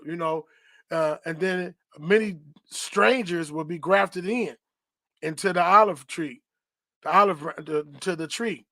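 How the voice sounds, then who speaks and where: A man's voice: high voice, a bit bright, dry recording. Preachy man teaching on a podcast. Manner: preachy, repetitive, rhetorical "right?" tags; reads dictionary definitions aloud. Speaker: podcast host.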